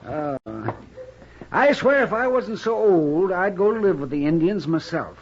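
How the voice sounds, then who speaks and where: An actor playing a crusty, excitable old town doctor in a 1950s radio western. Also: male voice, high voice, a little slow, full character voice. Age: older. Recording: dull, noisy vintage radio recording.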